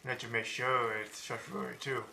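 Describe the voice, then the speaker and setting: A man's voice: mid-range voice, flat delivery, fairly quick, creaky, pushed and bright, a little hiss or hum. A man giving casual gameplay commentary as a streamer. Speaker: game streamer. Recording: echoey room, game music behind.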